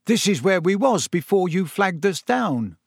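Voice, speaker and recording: A man's voice: high voice, sing-song, a little slow, vocal fry, husky, no room sound, clean studio sound, pitched above the performer's own voice, exaggerated voice. A man voicing a brash, nosy teenage bus conductor with cheeky, slangy speech in a narrated storybook. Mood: breezy. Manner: cheeky, slangy, dropped sounds and double negatives.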